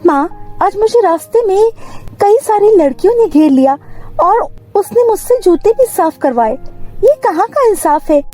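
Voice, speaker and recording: A woman's voice: very high voice, lively intonation, normal speed, warm tone, soft background music, pitched above the performer's own voice. A woman voicing an earnest, pleading schoolgirl daughter in a cartoon moral story. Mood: upset, pleading.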